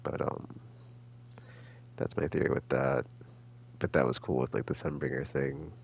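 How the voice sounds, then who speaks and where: Male game streamer, extremely low voice, heavy vocal fry, halting slangy rambling, casual commentary, humming background noise. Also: steady tone. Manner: halting, slangy, thinking out loud. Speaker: gaming streamer.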